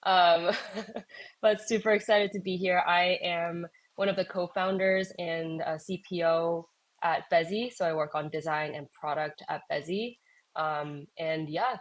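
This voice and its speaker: Friendly woman co-founder, lowish voice, eager and casual on a live audio chat. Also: fairly even intonation, moderate pace. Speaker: startup co-founder, guest.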